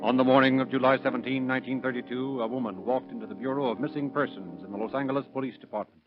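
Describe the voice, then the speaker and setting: A man's voice: mid-range voice, fairly even intonation, quick pace. A man announcing and narrating in formal, emphatic 1930s radio style on a muffled old recording. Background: music.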